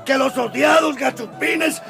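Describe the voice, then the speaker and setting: Fiery shouting old revolutionary priest. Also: male voice, very high-pitched, vocal fry, soft background music, a faint crowd, heavily acted. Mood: indignant rousing. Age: older. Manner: declamatory, rousing, slow emphatic delivery. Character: fiery revolutionary village priest nearing sixty, rallying Indians. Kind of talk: radio drama oratory.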